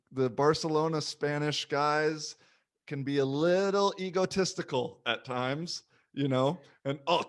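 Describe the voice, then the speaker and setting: A young man hosting a podcast, chatting casually and animatedly, with quick asides and little voice impressions in his stories. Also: a little high. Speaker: podcast host. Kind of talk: casual conversation.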